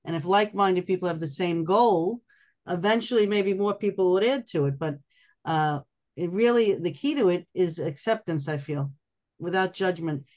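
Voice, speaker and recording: A host speaking thoughtfully on a group video call, with pauses and restarts. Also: medium pitch, darkish tone. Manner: thoughtful, hesitant, many restarts. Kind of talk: casual group discussion.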